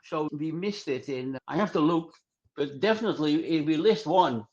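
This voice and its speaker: Older male podcast guest, higher-set voice, halting and repetitive delivery, muffled low-fidelity sound. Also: expressive, a little mellow. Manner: halting, repetitive non-native English. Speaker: podcast guest, club singer. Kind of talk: casual interview answers.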